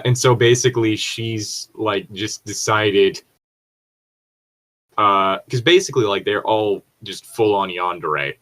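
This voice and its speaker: A man's voice: sits low, bright, forceful tone, rough recording. A man chatting casually in a rambling way, swearing freely. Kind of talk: casual chat.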